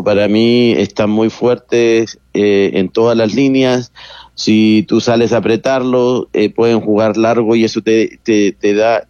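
A man's voice: on the low side, mellow, dry recording, highs cut off. A man serving as coach pundit who analyzes football with a hesitant delivery full of restarts. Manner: hesitant, many fillers and restarts. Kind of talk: sports analysis.

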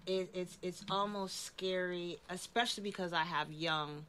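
A woman's voice: lowish voice, steady tone, normal speed, bright, forceful tone, a little hiss or hum. A woman of about fifty hosting a casual community talk, often murmuring agreement as she listens. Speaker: talk show host.

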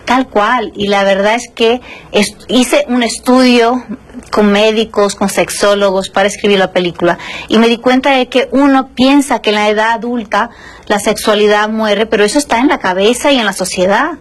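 A woman's voice: a little high, normal speed. A woman from Brazil, a Portuguese speaker in her Spanish, answering conversationally as an interview guest and film director.

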